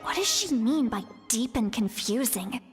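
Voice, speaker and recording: A woman voicing a kindly elderly shaman in a video game who strives to sound youthful, chatty, self-assured and gently guiding. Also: on the high side, expressive, unhurried, over music. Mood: fretful.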